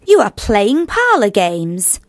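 An actress playing a devout, earnest, disapproving young sister in a period radio play, speaking formally and firmly. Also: female voice, mid-range voice, wildly swooping pitch, slow pace, dry recording, studio quality, heavily acted. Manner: formal, firm.

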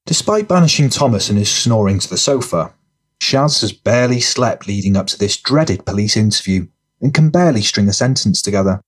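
Male narrator for crime fiction audiobook, deeper register, slightly rough husky voice, scripted storytelling. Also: lively intonation, brisk.

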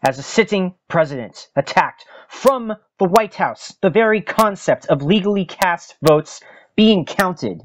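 Emphatic man, dull lo-fi recording. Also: on the high side. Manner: emphatic, solemn oratory with deliberate pauses. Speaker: podcast host. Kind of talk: dramatic reading of a political opinion article.

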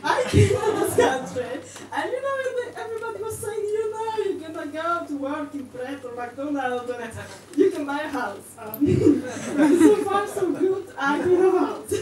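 A young woman from Poland with a Polish accent doing a stand-up set in character as a cleaning lady, talking in a chatty, halting way full of fillers and giggles, on a small club stage with a handheld mic. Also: sits high, expressive, a loud crowd. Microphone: handheld mic, heard from a distant camera. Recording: roomy club sound from far off with audience noise.

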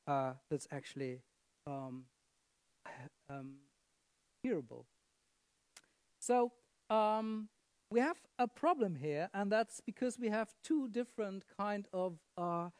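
Low-voiced woman giving a halting lecture. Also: darkish tone, no echo. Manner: halting, many fillers, asks the audience "yeah?". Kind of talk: conference lecture performance.

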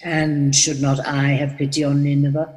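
A woman's voice: deep voice, flat delivery, moderate pace, darkish tone, close to an everyday voice. An older woman voicing a grave, reproving God in a solemn, stately scripture drama. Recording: long cavernous reverb.

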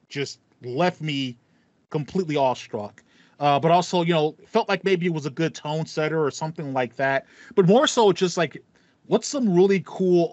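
A man in his thirties talks casually as a podcast host. His voice sits a bit high and he tells things like a lively storyteller. The sound is dry and slightly muffled, like a headset at home. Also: dry recording. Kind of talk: casual gaming podcast chat. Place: small home room with foam panels. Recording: dull, lo-fi dry audio.

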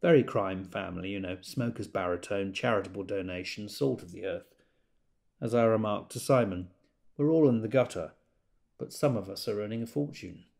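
Creaky-voiced, arch theatrical luvvie. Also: male voice, lowish voice, moderate pace, darkish tone. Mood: wry, disdainful. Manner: arch, declamatory, dry. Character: arch, theatrical, gossipy stage actor with wry disdain. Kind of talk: audio drama monologue.

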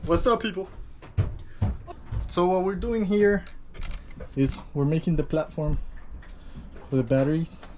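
A man's voice: on the high side, lively intonation, unhurried, long pauses, a little mellow, a little hiss or hum. A man in his thirties, a native Spanish speaker, briefly explaining a boat job in a small cabin, filmed on a handheld camera. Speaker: vlogger. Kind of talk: casual vlog, explaining work.

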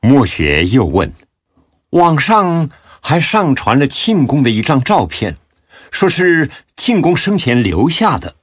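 A man with a deep, husky voice giving solemn, measured and expressive scripted narration of a Buddhist audio drama. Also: no echo, clean studio sound.

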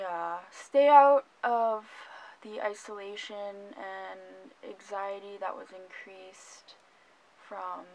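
A young adult woman chatting casually to camera from her bedroom, with soft laughs and fillers. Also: medium pitch, a little hiss or hum, rough recording. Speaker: vlogger. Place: small bedroom, indoors.

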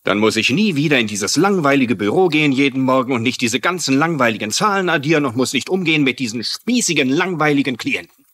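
A man's voice: mid-range voice, animated, fast, fairly bright tone, dry recording. A man playing a cynical, scornful younger business partner, sarcastic and dismissive, in a dubbed TV drama. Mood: scornful, defiant.

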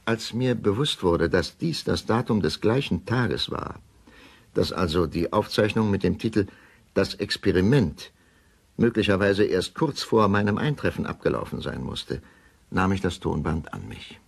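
An actor playing a troubled middle-aged male doctor, narrating a crime radio play in a grave, measured, deliberate way. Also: low voice, animated, vocal fry, breathy edge, exaggerated voice. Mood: measured, sombre. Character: troubled male doctor recounting a suspicious death. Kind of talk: first-person radio drama narration.

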